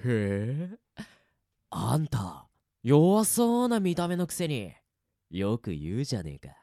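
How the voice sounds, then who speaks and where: A man acting in an audio drama as a cocky veteran death-game player who teases his rivals in rough, casual speech. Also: medium pitch, huge pitch swings, slow, mellow, no echo, studio quality, exaggerated voice. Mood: taunting.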